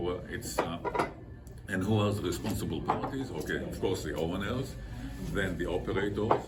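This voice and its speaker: An older man with an Israeli accent giving an informal presentation as a guest speaker, explaining things in a halting way. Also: sits low. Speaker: guest speaker, environmental consultant. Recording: distant mic with loud background noise.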